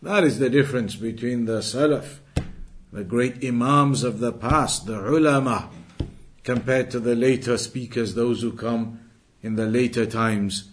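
A man's voice: medium pitch, unhurried, a little hiss or hum. A man giving a religious lesson as an Islamic teacher, speaking emphatically and repeating and rephrasing his key points. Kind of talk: religious lesson, explanatory lecture.

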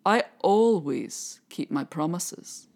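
A woman voicing a sly, courteous male devil who speaks slowly with mock sympathy. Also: on the low side, expressive, a little mellow, exaggerated voice. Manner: slow, smooth, mock-sympathetic.

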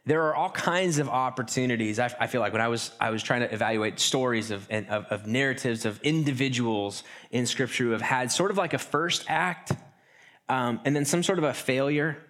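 A man's voice: mid-range voice, fairly bright tone. Conversational male pastor preaching. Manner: conversational, with restarts and acted asides. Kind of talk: sermon.

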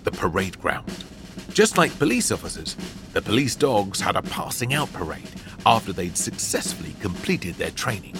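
Deep, expressive male storyteller. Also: moderate pace, music in the background. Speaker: audiobook narrator. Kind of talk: scripted storybook narration.

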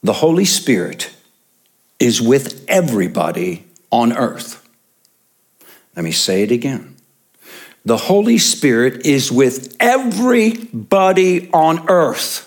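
A man's voice: medium pitch, sing-song, slow. A male pastor preaching a sermon in church, stressing and repeating his main points for the congregation.

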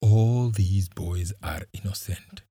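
A man's voice: sits low, takes it slowly, dark tone, husky, dry recording, clean studio sound, heavily acted. A man doing a solemn pleading young man. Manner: solemn, firm.

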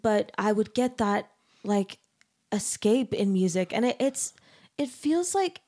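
A woman with a mid-range voice hosts a casual interview. She asks her questions openly and keeps up a stream of quick, encouraging affirmations. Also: medium pitch, expressive, warm tone. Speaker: podcast host and music teacher.

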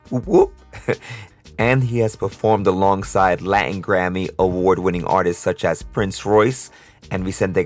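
Upbeat low-voiced man hosting a podcast. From Brooklyn, New York. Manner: upbeat, chatty, laughs often. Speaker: podcast host. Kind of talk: casual podcast interview.

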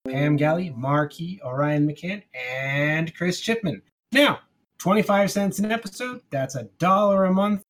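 A man's voice: sits high, a little slow, a bit bright. A man co-hosting a podcast in a casual, conversational style. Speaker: podcast co-host. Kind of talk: casual chat.

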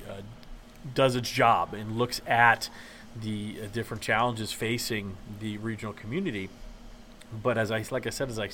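A man on a discussion panel, opinionated and self-described as loud, starting his sentences over and over. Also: on the low side, slight background noise. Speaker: panel guest.

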